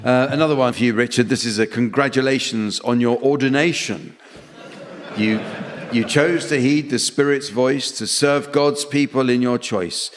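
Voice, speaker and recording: A man chairing a comedy radio panel game, reading out verses and jokes in a dry, deadpan way. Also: medium pitch, normal speed. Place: theatre stage before an audience. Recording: noisy hall with long reverb.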